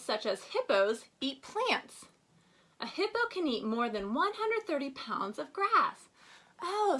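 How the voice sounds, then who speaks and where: A woman speaks as a teacher to young children in a reading lesson. Her voice sits somewhat high and swings widely in pitch. She instructs step by step, prompting with questions and stressing words expressively. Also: a bit bright. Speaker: first-grade teacher.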